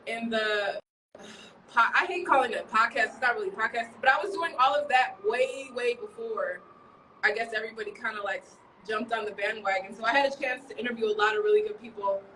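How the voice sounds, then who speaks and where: A woman in her thirties from Chicago, a journalist chatting in a relaxed, rambling way and laughing often during an interview. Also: on the high side, recorded from a distance, noisy background. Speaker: journalist guest. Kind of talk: casual interview chat. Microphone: livestream from a distance.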